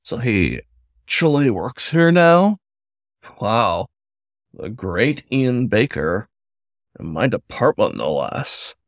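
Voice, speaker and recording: A man's voice: on the low side, big pitch swings, slow pace, pauses often, dry recording, clean studio sound, heavily acted. A man voicing a sardonic young male cacomistle store worker who teases and jokes. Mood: teasing. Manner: teasing, sarcastic.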